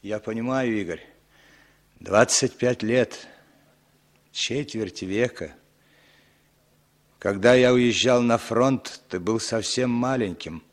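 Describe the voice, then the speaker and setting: An actor playing an aging impostor who poses as a long-lost brother, speaking slowly and sentimentally in a 1960s radio drama. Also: male voice, lowish voice. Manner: slow, heavy, sentimental.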